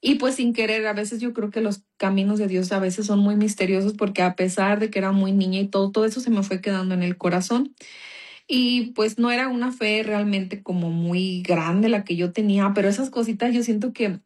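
A woman's voice: mid-range voice, steady tone, fairly quick, a little mellow. A woman from Mexico with a Mexican accent gives an informal personal testimony in a conversational, earnest, explanatory manner. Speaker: speaker giving a religious testimony.

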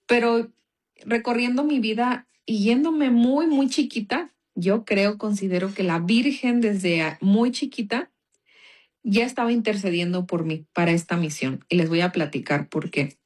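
A young Mexican woman with a Mexican accent, telling her personal faith story in a casual, chatty way full of small fillers. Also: mid-range voice. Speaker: guest giving a testimony.

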